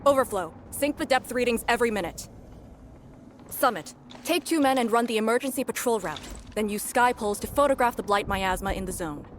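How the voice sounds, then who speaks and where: An actress playing a calm, commanding military task leader in a video game, giving clipped orders with authority. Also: female voice, a little high, brisk, a little hiss or hum, close to an everyday voice. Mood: firm, commanding. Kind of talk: scripted video game voice acting.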